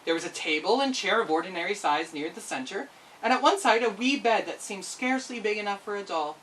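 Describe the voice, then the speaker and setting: A woman's voice: medium pitch, lively intonation, brisk, a bit bright, recorded from a distance, slight background noise, low-quality recording. A woman narrating a children's storybook expressively as a storyteller.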